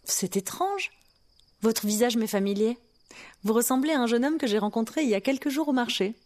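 Storybook princess, young, proud, high and bright. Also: male voice, expressive, brisk. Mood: puzzled. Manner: high falsetto, indignant.

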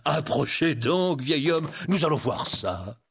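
A man's voice: high voice, huge pitch swings, slow pace, no room sound, clean studio sound, pitched above the performer's own voice, exaggerated voice. A man voicing a huge wolfish sheriff, a falsely cheerful and mocking storybook villain.

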